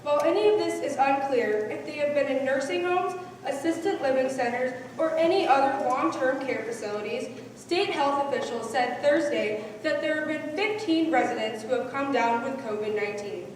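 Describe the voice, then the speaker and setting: Woman acting serious caring local reporter in a play, high voice, lots of echo. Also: normal speed, few pauses, natural voice. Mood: grave. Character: solemn, compassionate woman reporting on the community's losses. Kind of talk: scripted stage monologue. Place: on stage in a large hall. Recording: echoey hall from far off, faint noise.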